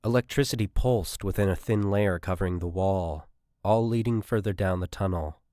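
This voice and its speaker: A man narrates a military sci-fi audiobook in a low voice. The reading is scripted storytelling, recorded dry and close with hardly any room sound. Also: moderate pace, mellow, no echo.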